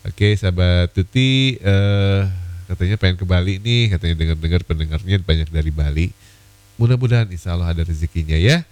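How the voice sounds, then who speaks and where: A man hosting an evening radio request show, a little hoarse from a cold, chatting casually with many fillers. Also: low-pitched, fairly quick, darkish tone. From Indonesia.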